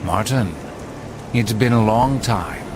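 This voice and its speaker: A man playing a cold, commanding medieval lord in a video game, speaking in an imperious, deliberate way. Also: fairly low pitch, background noise. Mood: coolly familiar. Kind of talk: video game cutscene acting.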